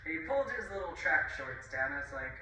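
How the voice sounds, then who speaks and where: A woman in her forties talks in a loose, rambling way, full of asides and quick brushing-off remarks. Her pitch moves around a lot and her tone is sharp and bright. She sounds far from the camera in a bare, echoey room. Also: sing-song, slight background noise. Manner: rambling, chuckling, heavy on fillers and dismissive asides. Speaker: vlogger. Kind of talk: casual rambling monologue. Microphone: camera at a distance.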